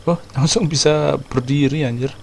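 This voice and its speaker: A man's voice: on the high side, normal speed, creaky, a little hiss or hum. A young man with an Indonesian accent giving casual gameplay commentary. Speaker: gaming streamer. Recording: loud game music behind the voice.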